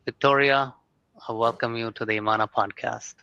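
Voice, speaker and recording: Podcast host guy, measured and formal, sharp tone, dull dry mic. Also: medium pitch, fairly even intonation, clear, resonant tone, dry recording. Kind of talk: podcast hosting, reading introductions.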